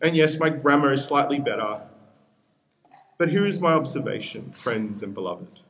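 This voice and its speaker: A man's voice: mid-range voice, lively intonation, normal speed, background noise. A young man from Peru whose first language is Spanish, preaching a sermon as pastor in an earnest way with repeated appeals.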